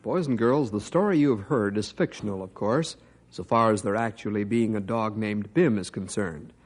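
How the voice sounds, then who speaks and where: A man announcing for a 1950s children's radio show, addressing boys and girls in a lively, upbeat way. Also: fairly low pitch, normal speed, faint music behind.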